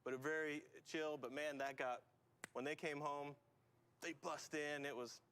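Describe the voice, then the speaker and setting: A man's voice: a little high, steady tone, measured pace, fairly bright tone, clean tone. A man co-hosting a morning encouragement show, chatting casually and riffing on jokes with his partner. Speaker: co-host. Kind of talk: casual chat.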